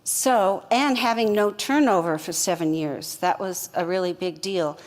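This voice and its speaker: Older woman, co-founder guest, unhurried storytelling, ringing reverb. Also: on the low side. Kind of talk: interview storytelling.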